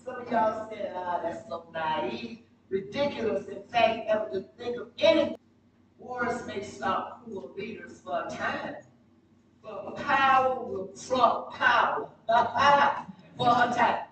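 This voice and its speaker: Woman preacher, slow emphatic sermon delivery with dramatic pauses, picked up off-mic over clear background noise. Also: fairly high pitch, a bit bright. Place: church sanctuary during a live service. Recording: very echoey, distant mic, noisy church livestream.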